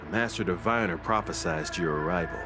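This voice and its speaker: An actor playing a wary, dutiful camp guard in a video game, speaking in a formal, earnest military manner. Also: male voice, deep voice, a little fast, natural voice. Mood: earnest, worried. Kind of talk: scripted video game dialogue. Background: music.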